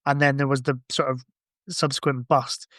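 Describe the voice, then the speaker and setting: A man's voice: medium pitch, flat delivery, normal speed, fairly bright tone, no room sound, clean studio sound. A man in casual podcast conversation, thinking aloud in a rambling way. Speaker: podcast guest or co-host. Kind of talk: casual chat.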